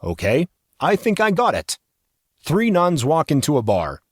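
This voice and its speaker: Guy doing a big shot older ceo in an audio drama, playful jokester, super animated, real dry sound. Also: on the high side, unhurried, breathy edge, dry recording, heavily acted. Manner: theatrical, teasing, animated delivery. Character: powerful masked older male executive, jovial, playful, theatrical joker. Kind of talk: audio drama character acting.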